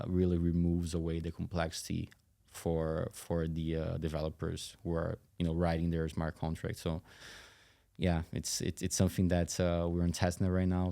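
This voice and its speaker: A man with a very deep, mellow voice. His intonation stays flat as he explains things conversationally. He talks haltingly, with frequent asides, like a guest in an interview. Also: gravelly. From Brazil. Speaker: tech company CEO, interview guest.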